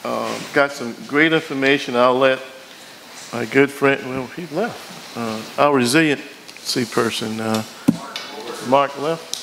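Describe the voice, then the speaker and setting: Male council member, mid-pitched, halting informal meeting report, some background noise. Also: vocal fry, a bit bright, poor audio. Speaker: city council member giving committee report. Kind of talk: spoken meeting report, loosely improvised.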